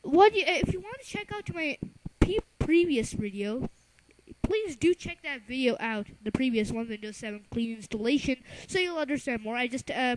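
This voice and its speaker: A high-pitched voice presents an informal software tutorial. The delivery is halting, with stumbles and self-corrections as the presenter explains each step. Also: rough recording. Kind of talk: informal narrated software lesson.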